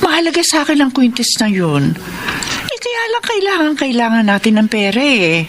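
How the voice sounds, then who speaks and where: A poor fisherman's devoted wife in a radio drama. Her voice is emotional and pleading, with lively swings in pitch as she worries over her family. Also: normal speed, background noise. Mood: anxious, resolute. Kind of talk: Tagalog radio drama acting.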